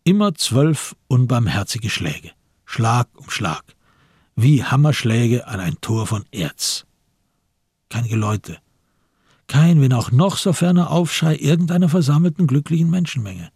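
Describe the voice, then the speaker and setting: Husky, gravelly low-voiced male narrator. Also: sing-song, a little slow, darkish tone, no echo, studio quality. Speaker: narrator of a radio drama. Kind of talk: scripted literary narration.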